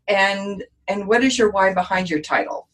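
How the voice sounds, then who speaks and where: A woman's voice: medium pitch, normal speed, a bit bright, rough recording. Upbeat woman talk show host. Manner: upbeat, enthusiastic introductions.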